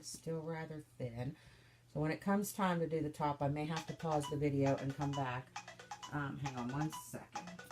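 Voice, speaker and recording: A woman making soap, chatting through each step in a casual demonstration, her voice slightly stuffy and hoarse from a cold. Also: low voice, mellow. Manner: chatty, narrating each step as she works.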